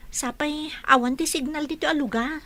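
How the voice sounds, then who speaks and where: A woman's voice: sits high, steady tone, moderate pace, sharp tone. An actress playing a village mother of a young girl in a radio drama. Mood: patient.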